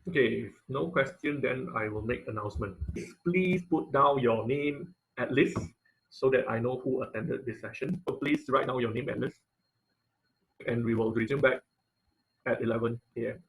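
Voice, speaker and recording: A man teaching an informal online class and taking questions, speaking haltingly and often repeating phrases as he searches for words. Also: mid-range voice, vocal fry, low-quality recording. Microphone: online video-call audio.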